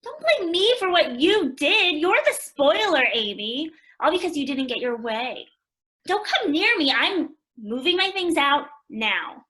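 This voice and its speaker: Radio play teen sister: fiery, accusing, high-pitched, very animated. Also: female voice, measured pace, heavily acted. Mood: angry. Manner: dramatic, accusing. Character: Joe, hot-tempered resentful teenage sister and young writer.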